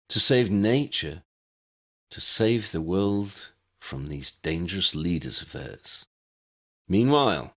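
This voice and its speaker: A grave wizard orator played by a male narrator delivers a rallying speech in a low voice. His pitch swings widely as he stresses key words and leaves dramatic pauses, as in a fantasy audiobook. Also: fairly bright tone, heavily acted. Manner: rhetorical, measured phrases with emphatic stresses and dramatic pauses. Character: charismatic male wizard demagogue rallying a meeting, impassioned and grave.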